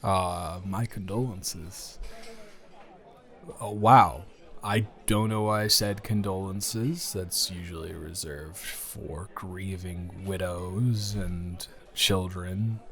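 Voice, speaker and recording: Slow-talking, awkward, joking young man acted out. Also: low voice, steady tone, a bit bright, exaggerated voice. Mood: awkward, teasing. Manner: hesitant, wordy, self-deprecating joking with stammers. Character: joking, awkward, smitten young man, jealous casual lover. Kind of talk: improvised intimate audio roleplay.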